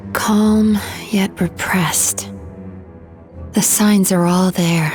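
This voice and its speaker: A woman's voice: lowish voice, very slow, a little mellow, soft background music. Composed, caring young woman. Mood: watchful. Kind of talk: English game dub acting.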